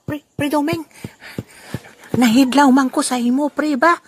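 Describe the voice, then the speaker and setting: A woman's voice: high-pitched, unhurried. A woman playing a boastful, teasing old man in an amateur audio drama, laughing often. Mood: friendly. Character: boastful old man Lolo Albino, jolly rival.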